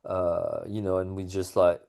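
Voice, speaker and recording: A man's voice: deep voice, level tone, unhurried, a little mellow. A man being interviewed about his music, talking casually with many fillers and restarts.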